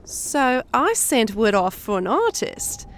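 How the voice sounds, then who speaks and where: Woman as a grumpy, high-voiced creature, very animated. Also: takes it slowly. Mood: sardonic, grumbling. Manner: sardonic, blunt, crude. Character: grumpy, foul-mouthed female non-human creature, hissing and growling. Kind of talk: audiobook drama character acting. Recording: clear background noise.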